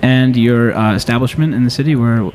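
A man hosting a casual radio interview show, speaking in a halting way as he searches for words. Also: mid-range voice, a little mellow. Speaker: talk show host.

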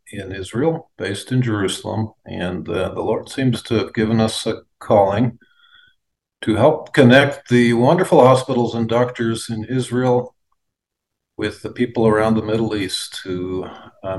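American man, 50s, lowish voice, measured and hesitant faith testimony as a guest. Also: darkish tone, poor audio. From United States. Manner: measured, hesitant with frequent fillers. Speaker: guest, aid organization leader.

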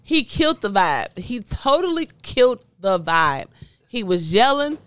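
A woman's voice: a little high, big pitch swings, a little slow, fairly bright tone, no room sound. A middle-aged woman chatting casually as a podcast co-host, teasing and exasperated in a slangy way. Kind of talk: casual banter with partner. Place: indoors at home.

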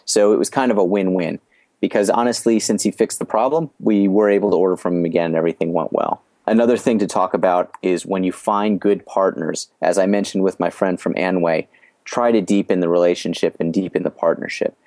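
Man, very low voice, dry close sound, measured explanations, interview guest, restarts phrases. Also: fairly even intonation, darkish tone, no echo. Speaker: guest sharing business experience. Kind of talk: podcast interview storytelling.

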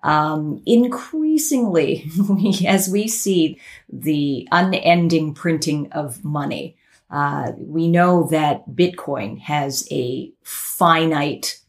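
A woman hosts an interview with a low voice full of vocal fry. She frames her questions slowly, with occasional hesitations. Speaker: interview host.

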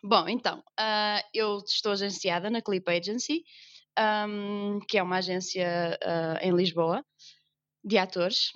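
A woman's voice: mid-range voice, unhurried, clear, resonant tone, dry recording, dull audio. A young woman with a northern Portuguese accent, a podcast guest who is an actress, chatting casually and laughing often. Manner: laughs often, animated storytelling.

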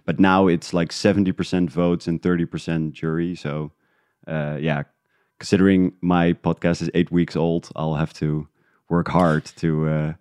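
Really deep smooth guy chatting in an interview, kinda halting, thinking out loud. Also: little pitch movement, no room sound. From Netherlands. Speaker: guest, independent podcast creator. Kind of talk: casual interview conversation.

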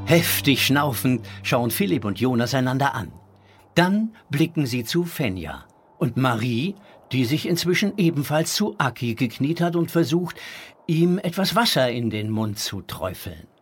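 Husky, animated male storyteller. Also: mid-range voice, unhurried, soft background music. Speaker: narrator. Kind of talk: scripted storytelling for a children's audio drama.

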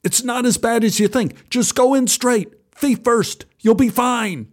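A man's voice: very high-pitched, a little slow, voice pushed up. A man voicing an impatient older diver urging on a kid in a told story. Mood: coaxing. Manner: urging, raised voice. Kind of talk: acted dialogue in a told story.

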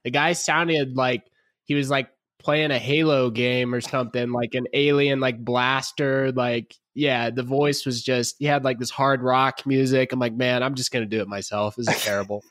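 A man hosting a podcast in casual chat, his voice a bit rough because he is sick, with a laid-back drawl. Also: mid-range voice, steady tone, normal speed, a bit bright, no echo, studio quality. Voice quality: slightly rough, congested. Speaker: podcast host. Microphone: remote call, each on own mic.

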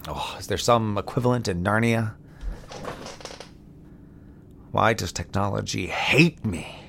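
A man's voice: lowish voice, creaky, fairly bright tone, heavily acted. An actor playing a frustrated lone moonbase crewman in a sci-fi audio drama, wry and rambling as he thinks aloud. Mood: wry, uneasy.

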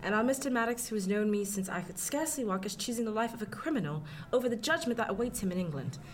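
A woman's voice: on the high side, expressive, quick pace, lightly acted. An actress playing a defiant, bitter eighteen-year-old well-born woman in a period radio drama, speaking formally and emphatically. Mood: indignant, insistent. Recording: clearly noisy, ambient sound behind.

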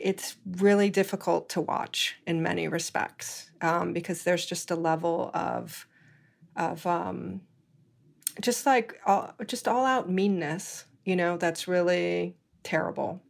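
A woman's voice: fairly low pitch, creaky. A middle-aged woman from Hawaii hosting a food-industry podcast, speaking with many fillers and restarts. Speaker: podcast host. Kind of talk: conversational interview and read ad.

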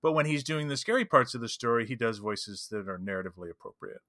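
A man's voice: mid-range voice, expressive, fast, pushed and bright, no room sound, clean studio sound. A man co-hosting a casual chat, giving practical advice as a game master. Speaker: podcast co-host and game master.

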